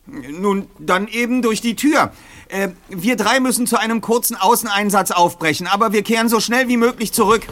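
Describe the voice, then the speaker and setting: A man's voice: high-pitched, moderate pace, a bit bright. A man playing a self-assured teenage detective in an audio drama, speaking formally and precisely. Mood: hurried, polite. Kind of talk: German audio drama acting.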